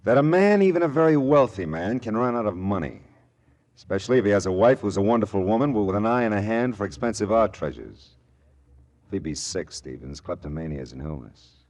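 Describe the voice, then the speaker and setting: An actor playing a shrewd, world-weary private detective in mid-century American radio style, with a wry, hard-boiled, knowing manner and an American accent. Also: male voice, lowish voice, lively intonation, a little fast, darkish tone. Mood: knowing, gentle. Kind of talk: 1940s-50s American radio detective acting. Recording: vintage radio drama recording with music.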